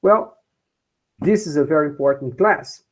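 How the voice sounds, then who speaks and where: A Brazilian professor with a Brazilian Portuguese accent, teaching a class in English, often checking in with "okay?" and repeating points in Portuguese. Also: male voice, on the high side, normal speed. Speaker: university professor. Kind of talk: bilingual class lecture.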